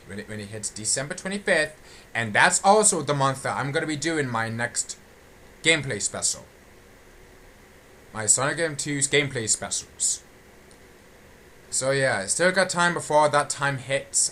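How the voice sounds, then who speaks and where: A man with a bright, forceful voice talks casually through an unscripted audio log. He halts and circles back, restating his phrases as he goes. There is faint noise in the recording. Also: mid-range voice. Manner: halting, repetitive, often restating phrases. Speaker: channel host giving an update.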